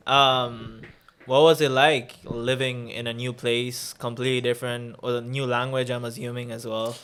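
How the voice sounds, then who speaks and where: A man's voice: mid-range voice, little pitch movement, normal speed, fairly bright tone, clean tone. A man hosting a podcast, asking questions in an easygoing way with many fillers. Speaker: podcast host. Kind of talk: casual interview talk.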